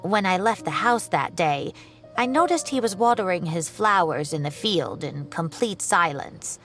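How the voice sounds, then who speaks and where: A kindly, fussy older professor fusses and chatters in a somewhat low voice. She is given to little exclamations. Faint game music hums behind her. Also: lively intonation, measured pace, fairly bright tone. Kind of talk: game voice acting.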